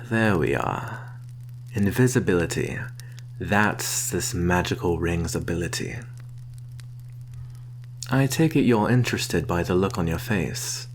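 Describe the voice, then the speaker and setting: Slow, low-voiced sly vampire merchant, played by a man. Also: animated, slight background noise, exaggerated voice. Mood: secretive, proud. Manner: soft-spoken, coaxing, deliberate with sighs and soft chuckles. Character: sly male vampire posing as traveling merchant. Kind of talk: ASMR roleplay.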